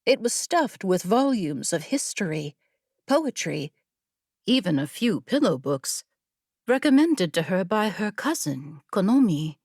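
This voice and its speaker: A woman narrating an audiobook story in a measured, expressive storytelling manner. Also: medium pitch, breathy edge, dry recording, studio quality. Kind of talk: scripted fiction narration.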